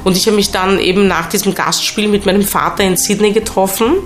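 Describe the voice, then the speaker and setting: A woman's voice: mid-range voice, fairly even intonation, fairly quick, faint music behind. A woman with an Austrian accent, a guest in a studio interview, chatting effusively and wandering off into digressions. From Vienna, Austria. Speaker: interview guest, stage performer. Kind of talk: casual conversational storytelling. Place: radio studio.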